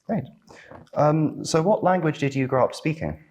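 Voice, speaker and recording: A man's voice: fairly high pitch, normal speed, a little mellow. Polite man asking interview questions.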